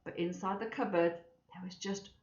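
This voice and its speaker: A woman's voice: medium pitch, fairly even intonation, moderate pace, darkish tone. A woman reading a children's story aloud expressively, with chatty asides to young listeners. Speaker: storybook reader for schoolchildren. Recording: echoey room, slightly dull sound.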